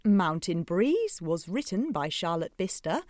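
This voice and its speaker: A woman's voice: lowish voice, very animated, a little slow, a little mellow, dry recording, clean studio sound. A woman reading scripted closing credits as a narrator.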